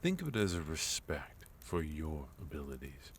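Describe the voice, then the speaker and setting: A man playing a sophisticated villain leader in an ASMR roleplay, speaking formally and politely with measured pauses and quiet menace. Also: rumbling low, sing-song, slight background noise, exaggerated voice. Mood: calmly guarded. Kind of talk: ASMR roleplay, intimate second-person acting.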